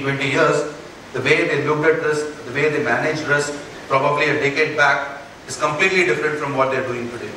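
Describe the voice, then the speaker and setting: A man in his forties with an Indian English accent, giving an earnest conference keynote on a large stage and often checking in with the audience with a quick "right?". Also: a little high, pushed and bright, a little hiss or hum. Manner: earnest, rhetorical questions, frequent "right?" tags. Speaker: keynote speaker. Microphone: headset mic. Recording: echoey hall, heard from far off.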